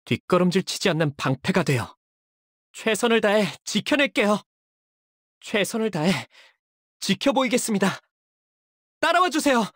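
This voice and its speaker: An actor voicing a young male fighter in a video game, polite and soft-spoken with dramatic delivery. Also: mid-range voice, sing-song, normal speed, pauses often, dry recording, clean studio sound. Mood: earnest, anxious. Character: earnest, anxious young man, a devoted shielding fighter; tormented young male zealot who purifies with fire. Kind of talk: video game character voice lines.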